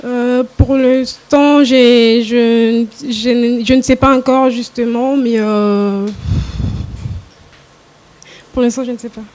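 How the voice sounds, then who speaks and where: A woman's voice: on the high side, fairly even intonation, takes it slowly, a little mellow. A young woman, a pageant contestant, answering in a hesitant, unsure, stammering way. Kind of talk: casting interview answers.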